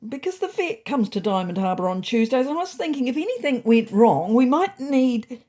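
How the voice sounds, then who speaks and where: A woman's voice: fairly high pitch, sing-song, moderate pace, a little mellow, voice pushed up. A woman voicing a timid, anxious young male cat in a storybook, tentative and earnest.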